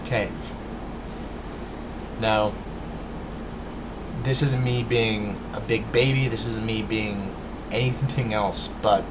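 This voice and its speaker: A man with a lowish voice speaks straight to his viewers, lecturing earnestly and often stumbling over words with a stutter. A clear steady hum of background noise sits under his voice. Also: slow pace, long pauses. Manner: stutters, restarts, many fillers. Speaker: vlogger. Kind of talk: casual rant to camera.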